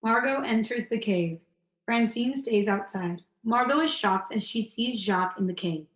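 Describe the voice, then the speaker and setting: A woman's voice: fairly high pitch, normal speed, close to an everyday voice. A young woman reading scripted stage directions in a plain, matter-of-fact way, as narrator of a radio play. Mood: neutral. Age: young adult. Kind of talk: scripted narration in present tense. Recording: roomy, echoing voice track.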